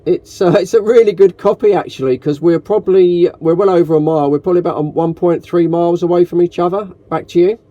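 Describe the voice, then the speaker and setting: Male hobby host, slightly high voice, very dry sound, chatty and informal delivery. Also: steady tone, fast talker, hardly any pauses, warm tone, no echo, highs cut off. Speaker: video host and radio hobbyist. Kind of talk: casual radio chat and vlog commentary.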